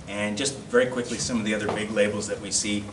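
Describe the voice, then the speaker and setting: Male presenter, fairly deep voice, hesitant, informal lecture, distant mic, roomy classroom sound. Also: steady tone. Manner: hesitant, halting delivery with self-deprecating asides. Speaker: presenter at a collectors' society. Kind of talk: informal slide-show lecture. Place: classroom, indoors. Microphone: camera across the room. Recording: distant mic, echoey room, loud background noise.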